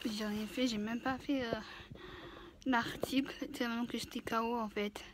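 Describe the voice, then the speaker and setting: A woman's voice: a little high, fairly even intonation, moderate pace, background noise. A woman in her twenties with the flu and a stuffy nose, chatting casually in a vlog and rambling with many fillers. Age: 20s. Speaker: vlogger. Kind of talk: casual chat.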